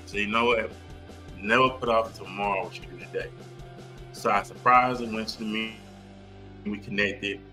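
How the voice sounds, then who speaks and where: Male podcast host, forceful bright tone, effusive and emphatic delivery. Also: fairly low pitch. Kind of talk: enthusiastic podcast hosting.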